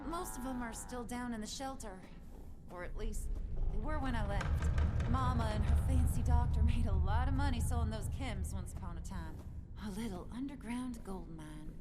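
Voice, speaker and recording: Actress as a high-voiced, folksy young woman. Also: moderate pace, loud music behind the voice, lightly acted. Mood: wistful, candid. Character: sweet hopeful young woman who tends pack cattle. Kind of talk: video game dialogue. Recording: loud vehicle and ambient game noise.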